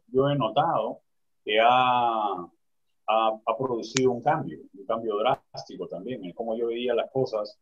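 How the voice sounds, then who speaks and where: A man's voice: medium pitch, slightly rough, muffled recording. A man talking reflectively in a panel discussion over a video call, often restarting his phrases. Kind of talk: casual discussion.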